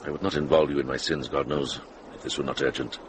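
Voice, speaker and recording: An actor playing a wise, wry older monk in a radio drama. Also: male voice, a bass voice, fairly even intonation, quick pace. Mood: wry, confiding. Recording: noisy vintage radio drama recording.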